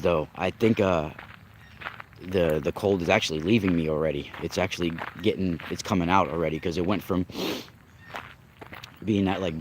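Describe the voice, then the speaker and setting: A man's voice: low voice, a little hiss or hum. A young adult man with a cold and a slightly nasal voice, chatting casually on a livestream as host and spiritual reader, rambling and restarting often. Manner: rambling, restarts often, greets chat viewers. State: congested with a cold, coughing.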